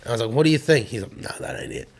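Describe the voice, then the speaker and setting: A raspy-voiced Australian man talks in a relaxed, rambling way as a podcast guest. His voice sits in an ordinary middle register, and he swears casually and leans on fillers. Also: medium pitch, very fast. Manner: laid-back, swears freely, filler-heavy. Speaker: rapper guest.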